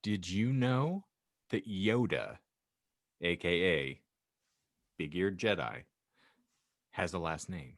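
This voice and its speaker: Low-pitched man bantering on a podcast. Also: expressive, takes it slowly, long pauses, no room sound, studio quality. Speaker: podcast co-host. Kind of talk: casual joking podcast banter.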